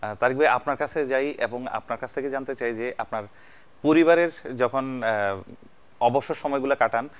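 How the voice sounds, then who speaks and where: A man's voice: mid-range voice, normal speed, smooth. Hesitant Bangladeshi man hosting a chat. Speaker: TV talk show host. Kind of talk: conversational celebrity interview.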